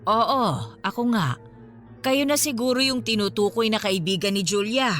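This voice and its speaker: A woman's voice: on the high side, normal speed, fairly bright tone, soft background music, close to an everyday voice. A woman playing an elderly, modest, folksy caretaker of an old house in a Filipino radio drama, chatty and full of exclamations. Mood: plain, obliging. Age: older.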